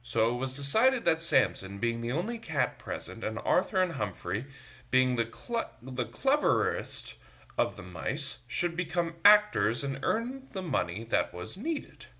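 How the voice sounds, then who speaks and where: A man's voice: mid-range voice, huge pitch swings, a little slow, sharp tone. A man reading a picture book aloud to children, expressively, with chatty asides to his young listeners. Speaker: storytime reader for a church. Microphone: phone.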